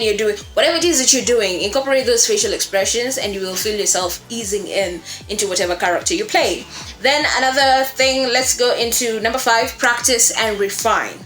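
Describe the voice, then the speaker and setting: A woman instructor explaining a lesson in an expressive way, repeating words for emphasis. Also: a little high. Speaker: voice acting instructor. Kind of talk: explanatory lesson on creating character voices. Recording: roomy echo, faint music behind.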